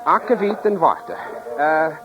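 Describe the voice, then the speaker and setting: A muffled old radio drama voice: a man putting on a bumbling sailor with a mock Scandinavian accent. He is pitched high, hesitant and naive, stumbling over his words. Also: animated, moderate pace, music in the background, natural voice. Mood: naive, eager. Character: bumbling, simple Scandinavian-American sailor looking for his cousin. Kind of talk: 1940s radio acting.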